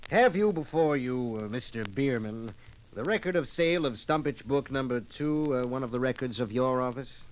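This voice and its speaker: An American man playing a formal, probing committee examiner in a 1950s radio drama, deliberate and halting. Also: medium pitch, expressive, lightly acted. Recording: vintage 1950s radio LP recording.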